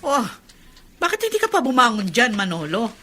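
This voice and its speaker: An actress in a Filipino radio drama playing a nagging, impatient, resentful wife who complains and scolds. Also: female voice, a little high, wildly swooping pitch, normal speed, pushed and bright, soft background music, full character voice. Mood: scolding, impatient.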